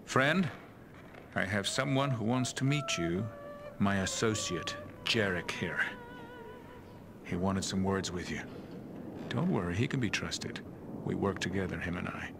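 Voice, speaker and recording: A man voicing a gruff but friendly, slightly shady townsman in a fantasy video game, with a gravelly voice. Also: low voice, animated, a little slow, pauses often, heavily acted. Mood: confiding. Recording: noisy tavern ambience behind.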